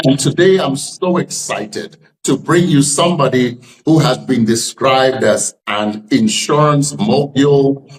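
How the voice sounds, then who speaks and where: Male podcast host, middle-aged. Medium pitch, formal and emphatic, clearly structured presenting. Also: takes it slowly, poor audio. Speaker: podcast host, leadership scholar. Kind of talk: scripted podcast introduction and interviewing.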